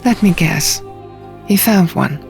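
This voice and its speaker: A skeptical young woman diviner, as a female narrator plays her in a fantasy audiobook. She is guarded and pointed, with a creaky edge to her voice and quiet music behind. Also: fairly low pitch, lively intonation, slow pace, heavily acted.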